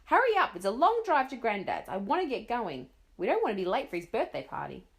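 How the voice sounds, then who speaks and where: A woman voicing a stern, impatient mother who shouts and scolds. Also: on the high side, wildly swooping pitch, fast, voice pushed up. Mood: angry shouting.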